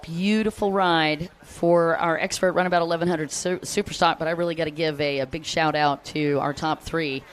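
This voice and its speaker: A woman's voice: deep voice, fairly even intonation, moderate pace, few pauses, slight background noise. An American woman giving live race commentary, chatty and upbeat, calling out riders and greeting fans between lap updates. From United States.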